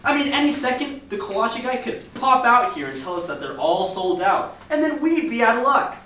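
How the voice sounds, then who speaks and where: A man's voice: extremely high pitch, lively intonation, moderate pace, sharp tone, full character voice. A man playing an irritated, fussy, sarcastic businessman in a staged play reading. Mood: indignant. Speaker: narrator and actor. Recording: echoey hall from far off, faint noise.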